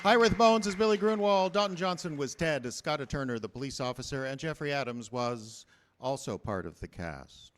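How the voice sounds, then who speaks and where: A man's voice: medium pitch, lively intonation, moderate pace. A man announcing cast credits as host of a show. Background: loud guitar music.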